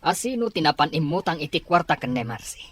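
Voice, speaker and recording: A man's voice: a little high, sing-song, fast talker, a bit bright, breathy edge, heavily acted. A man playing a stern, hard-hearted farming father in a radio drama, blunt and domineering with an Ilocano accent. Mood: angry.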